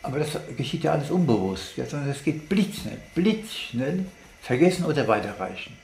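A man explaining science off the cuff, halting and deliberate, as if thinking aloud. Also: mid-range voice, big pitch swings, creaky, darkish tone. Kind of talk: unscripted explanatory monologue. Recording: echoey room with background noise.

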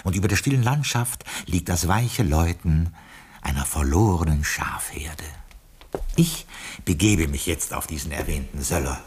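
Deep, husky, dignified butler. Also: male voice, lively intonation, moderate pace, heavily acted. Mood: stately, wry. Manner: dignified, ceremonious, dryly correcting. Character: formal, loyal, pedantic Scottish castle butler. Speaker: narrator and butler in a radio play. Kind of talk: theatrical radio drama storytelling.

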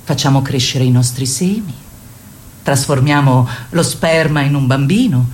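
A woman's voice: extremely low pitch, unhurried, darkish tone, slight background noise. A woman playing a commanding, encouraging feminist workshop leader in a radio play, exhorting her group with theatrical, rhetorical fervour. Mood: solemn, fervent. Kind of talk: radio theatre acting, declamatory.

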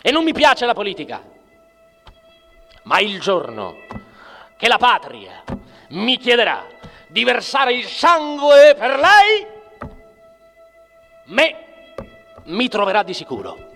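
A man's voice: extremely high pitch, big pitch swings, takes it slowly, gravelly, long pauses, full character voice. An actor playing a bitter, cynical everyman in a declamatory theatrical monologue with music. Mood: strident, indignant. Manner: declamatory, swinging from muttering to shouting. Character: bitter, ranting, cynical everyman raging at the bosses. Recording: long reverberant hall, music behind.

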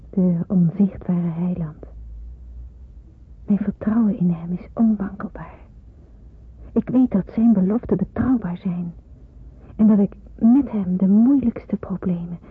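An actress playing a devout, calm young woman in wartime hiding, narrating her thoughts in a measured, dreamy way for a radio play. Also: medium pitch, breathy edge. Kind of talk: radio play acting with reflective inner narration. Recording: tinny, noisy old radio play recording.

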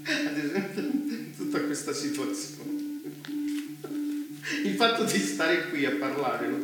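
A man's voice: fairly high pitch, sing-song, slow. Man playing smug mocking guy in power, stage play, provocative, far from mic, big echo. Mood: amused, mocking. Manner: taunting, playful, questioning. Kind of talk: theatrical stage dialogue. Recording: echoey distant stage, loud music behind.

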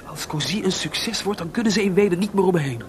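Restless, higher-voiced Mozart. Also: male voice, animated, a little fast, a little hiss or hum. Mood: anxious, probing. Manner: hesitant, searching for words, theatrical. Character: Mozart, restless, melancholy composer, proud but adrift. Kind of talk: Dutch radio play acting.

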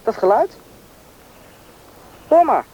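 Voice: medium pitch, big pitch swings, slow pace, dull audio. A performer playing a bossy, knowing country boy, confident and impatient, in a radio drama. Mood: urgent. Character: bossy, knowing boy who grew up in the taiga. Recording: noisy old radio play recording.

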